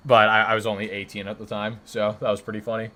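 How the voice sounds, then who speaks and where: A young man of twenty with a deep voice that still cuts through with a bright, forceful edge. He hosts a live stream and talks casually, bantering with his chat and defending himself in a joking, rambling way. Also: level tone, fast talker. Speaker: livestream host.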